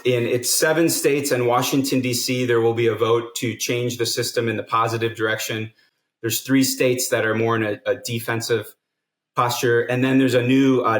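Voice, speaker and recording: An earnest man walks through his reasoning one step at a time, mid-pitched and steady. His voice carries a noticeable roomy echo from an indoor space. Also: moderate pace. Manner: earnest, explanatory, builds arguments step by step. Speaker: guest, nonprofit co-founder. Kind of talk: podcast interview.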